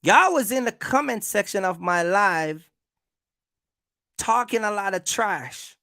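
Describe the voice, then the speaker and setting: Forceful, gravelly YouTuber on a rant. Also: medium pitch, lively intonation, takes it slowly, no echo, clean studio sound. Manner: confrontational, repetitive, punctuated with rhetorical questions. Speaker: YouTube content creator, trucking vlogger. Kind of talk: casual rant to camera, calling out rivals.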